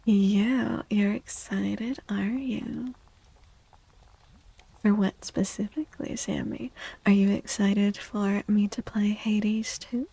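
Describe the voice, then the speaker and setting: A woman speaking softly and breathily in a gentle, unhurried half-whisper, chatting as an ASMR livestream host. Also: medium pitch, steady tone, gravelly, slightly rough. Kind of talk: soft-spoken ASMR livestream chat. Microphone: close microphone, dry.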